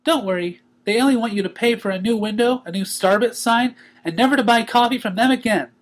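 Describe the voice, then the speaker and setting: A man's voice: very high-pitched, fairly quick, voice pushed up. A man voicing a bubbly, childlike young female cartoon pony, cheerful, bouncy and chatty, in a storybook reading.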